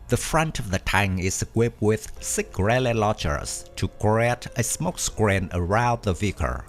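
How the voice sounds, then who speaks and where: A man reading a scripted documentary narration at an even, measured pace. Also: fairly low pitch. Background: faint background music.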